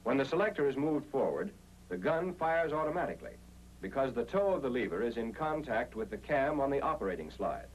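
A man's voice: mid-range voice, big pitch swings, fairly quick. An American man narrating an instructional film in 1940s style, explaining things in a methodical, step-by-step way. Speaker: narrator of a military training film. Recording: noisy 1940s film soundtrack with hum.

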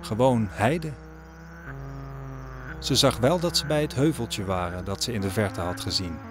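Male narrator for a radio-play tale, lowish voice, clear music bed. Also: unhurried. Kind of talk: scripted storytelling narration in an audio drama. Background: music under the voice.

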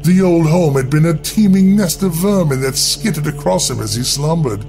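A man narrating a scripted horror story in a deliberate, theatrical, dramatic way. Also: a little high, lively intonation. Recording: music bed under the voice. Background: music.

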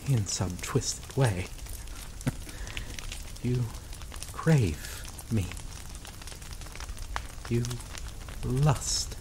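Guy doing a doomed clingy yandere lover, talks super slow and soft, rain pouring in the background. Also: medium pitch, poor audio. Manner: slow, soft-spoken, dwelling on words with dark chuckles. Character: obsessive possessive male yandere lover, doomed and devoted. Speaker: ASMR roleplay voice actor. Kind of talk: ASMR roleplay. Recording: steady rain noise behind voice. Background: rain falling.

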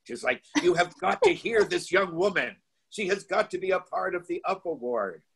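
A man's voice: high voice, lively intonation, moderate pace, slightly rough, poor audio. A middle-aged man hosting a virtual award event from a home study, friendly and easygoing, speaking into a laptop mic. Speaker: event host. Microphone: laptop video call.

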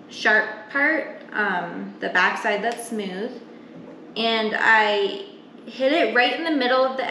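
A woman's voice: on the high side, pushed and bright. A young adult woman giving a casual, step-by-step cooking demonstration in an informal, explanatory way while she works in a home kitchen. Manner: informal, explanatory, talking while working with her hands. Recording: roomy kitchen echo, clear background noise.

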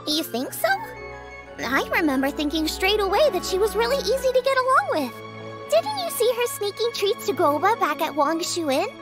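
Cheerful high-voiced girl cook. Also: very animated, normal speed. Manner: upbeat, casual, food-minded. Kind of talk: anime-style video game voice acting. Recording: music under the voice. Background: game music.

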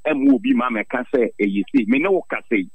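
Ghanaian male interviewee, farmers' leader. Lively swooping delivery, emphatic and questioning, on dull band-limited radio sound. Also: mid-range voice, brisk, creaky, slightly rough, no room sound. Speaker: farmers' association president, interviewee. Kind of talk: impassioned radio interview, airing grievances.

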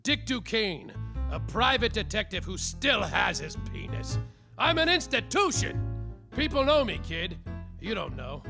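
A man's voice: high-pitched, sing-song, unhurried, vocal fry, music in the background, full character voice. A man playing a world-weary, boastful private detective in a slapstick radio mystery. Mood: boastful, wry. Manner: boastful, hard-boiled. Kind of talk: parody radio-mystery acting and narration.

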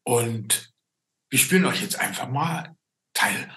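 A man in his fifties hosts a casual online chat from a roomy-sounding space at home. His delivery is slow and hesitant, with a medium-pitched voice. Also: lively intonation, pushed and bright. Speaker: interview host. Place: indoors at home, small room. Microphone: video call. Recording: roomy, echoey video-call sound.

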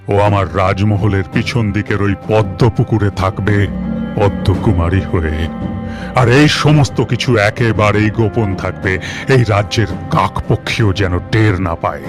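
A man's voice: fairly low pitch, unhurried, natural voice. A man voicing a protective, emotional king and father in a cartoon fairy tale, with stately dramatic delivery. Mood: resolute. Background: music.

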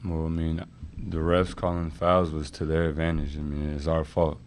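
A young male college basketball player gives short, matter-of-fact answers in a very deep, flat voice. He speaks into a table mic over a faint room hum. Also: moderate pace. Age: young adult. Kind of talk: post-game press conference.

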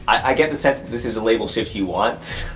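A man's voice: on the low side, fast talker, soft background music. A man acting as game master, narrating a tabletop roleplaying game in a casual style.

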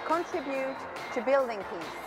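A woman from Belgium, a native French speaker, presents a scripted promotional video. Her voice sits fairly high, with music clearly audible underneath. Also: animated, normal speed. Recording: video played with music behind.

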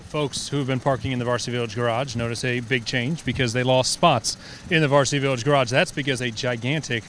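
A man in his thirties delivers a fast, upbeat reporter's lead-in into a handheld mic. He stands outdoors in a half-built stadium. Faint city traffic hums behind him. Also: medium pitch, fairly even intonation, a bit bright. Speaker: host. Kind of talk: scripted sports-network interview. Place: outdoors in an unfinished stadium under construction. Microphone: handheld microphone.

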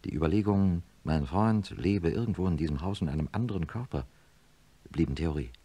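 A middle-aged professor of surgery telling his story in a radio play. His extremely deep, mellow voice is husky, and he narrates in a sober, measured manner. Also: dry recording. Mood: shaken. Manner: measured, matter-of-fact. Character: sober male neurosurgeon professor recounting his experiment. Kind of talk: first-person radio drama narration.

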